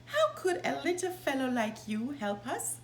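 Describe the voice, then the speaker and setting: A woman's voice: high voice, very animated, moderate pace, reverberant, slight background noise, pitched above the performer's own voice. A woman voicing furtive, scoffing thieves in a children's storybook read-aloud, with a questioning, cautious manner.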